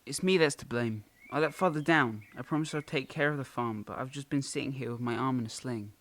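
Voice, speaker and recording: A man's voice: medium pitch, a little fast, fairly bright tone, close to an everyday voice. A young man playing a self-doubting, poetic farmer's son in an amateur audio drama.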